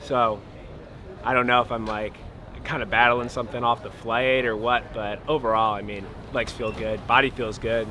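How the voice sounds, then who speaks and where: A man outdoors chatting casually before a ride, his voice going hoarse. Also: medium pitch, flat delivery, moderate pace, sharp tone. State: losing his voice. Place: outdoors, bike course.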